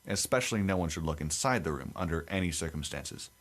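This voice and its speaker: A young man with a deep radio-like voice, co-hosting a casual podcast and reading a creepy story aloud. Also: expressive, fast, a bit bright. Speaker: podcast co-host.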